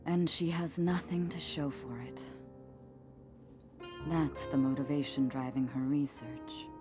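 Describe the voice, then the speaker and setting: A woman playing a poised, protective warrior in a video game, speaking in a measured, knowing and solemn manner. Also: rumbling low, fairly even intonation, over music. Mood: solemn, sympathetic. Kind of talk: video game cutscene acting.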